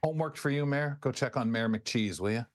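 A man's voice: mid-range voice, fairly quick. A man co-hosting a talk show, chipping in with casual, jokey banter.

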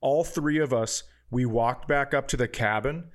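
Podcast co-host, middle-aged man, medium pitch, casual sweary storyteller who acts out quotes. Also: a little slow. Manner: profane, animated anecdotes with acted-out quotes. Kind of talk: casual comic storytelling chat.

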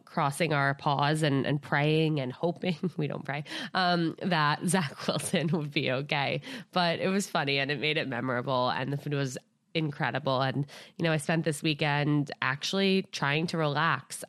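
A woman's voice: low-pitched, no echo, clean studio sound. A woman in her late twenties, possibly, hosting a podcast in a casual, upbeat way with many fillers. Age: 20s. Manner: chatty, upbeat, lots of fillers like "like" and "you know". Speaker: podcast host. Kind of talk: casual solo podcast intro.

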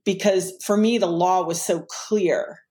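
Podcast co-host, mid pitch, reflective, halting delivery. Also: steady tone, darkish tone. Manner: thoughtful, hesitant, searching for words. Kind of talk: casual conversation.

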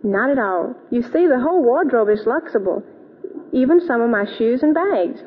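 A woman's voice: a little high, expressive, moderate pace, a little hiss or hum. A woman speaking as a gushing guest in a scripted 1940s radio advertisement. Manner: bright, gushing enthusiasm. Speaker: guest in a radio soap commercial. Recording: tinny, reverberant old radio broadcast.

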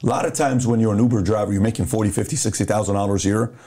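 Middle-aged man from Iran, relaxed warm voice, casual podcast guest who plays out both sides of a story. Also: fairly low pitch, racing. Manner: animated storyteller who acts out dialogue.

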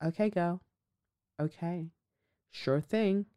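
A blunt, outspoken woman runs her own commentary show, speaking casually and cursing without hesitation. Her voice sits a little on the low side, and she keeps a loose, conversational flow broken up by dramatic asides. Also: slow pace, mellow, clean tone. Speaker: podcast host.

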